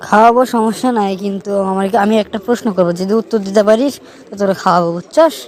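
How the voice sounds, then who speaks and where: A man's voice: high voice, rapid-fire, close to an everyday voice. A man with a northern Bangladeshi accent playing a teasing young riddler in an amateur cartoon, explaining things to his friend. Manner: teasing, explanatory, keeps checking the listener follows. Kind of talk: amateur cartoon dialogue, storytelling.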